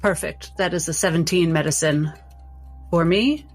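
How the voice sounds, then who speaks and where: A woman narrating her character's moves as a tabletop game player, halting and thoughtful. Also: on the low side, soft background music. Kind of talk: improvised roleplay narration.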